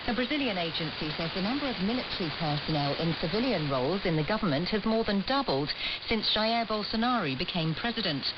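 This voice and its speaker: A woman reading a formal scripted news bulletin on the radio. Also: fairly low pitch. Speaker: newsreader. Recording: muffled, very noisy hissing shortwave radio.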